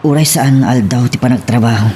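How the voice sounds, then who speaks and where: A man's voice: mid-range voice, fast, warm tone, a little hiss or hum, lightly acted. A man with an Ilocano Filipino accent playing a brooding, guilt-burdened husband working abroad in an audio drama. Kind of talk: Ilocano radio-style drama acting.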